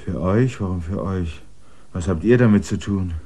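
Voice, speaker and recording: A stubborn land surveyor. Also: male voice, deep voice, moderate pace, warm tone, slightly rough. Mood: brooding. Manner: earnest, insistent, rising to agitation. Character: stubborn land surveyor, outsider seeking the castle. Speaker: lead character. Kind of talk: German radio drama acting.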